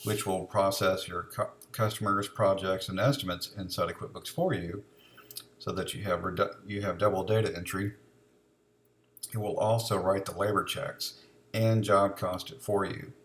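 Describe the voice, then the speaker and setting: Male software demonstrator, low voice, informal step-by-step walkthrough, some background noise on the recording. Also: level tone, normal speed. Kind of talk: unscripted step-by-step software tutorial narration.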